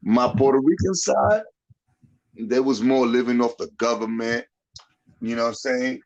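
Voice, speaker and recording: A man's voice: medium pitch, normal speed, a little mellow, dull audio. A man in his forties, from the Dominican Republic, talking bluntly and profanely in casual chat, often tagging on "you know what I'm saying". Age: 40s. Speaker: podcast host.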